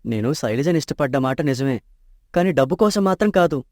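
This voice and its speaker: A man's voice: a little high, lively intonation, fairly quick, darkish tone, dry recording, clean studio sound, lightly acted. An actor playing an honest, poor young man in love in a storybook tale, earnest and troubled.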